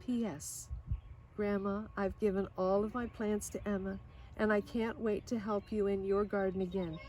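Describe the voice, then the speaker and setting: An older woman voicing an excited, affectionate young girl in a storybook, reading her letter home with earnest expression, recorded outdoors. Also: mid-range voice, normal speed, close to an everyday voice, rough recording. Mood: affectionate, eager. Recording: outdoor recording with clear background noise.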